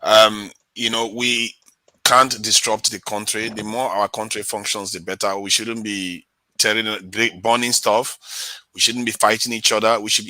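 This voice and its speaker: A man on an online panel speaks earnestly for calm and unity. His tone is very bright and sharp, and the sound is dry and close. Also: on the low side, dry recording, poor audio. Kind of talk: advisory remarks on a panel.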